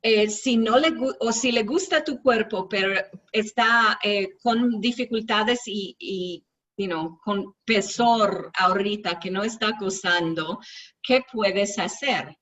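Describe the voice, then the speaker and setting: A woman's voice: medium pitch, measured pace, fairly bright tone, far from the mic, dull audio. A woman co-hosting an online talk who relays the other speakers' points. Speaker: co-host and interpreter. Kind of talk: casual online talk, interpreting.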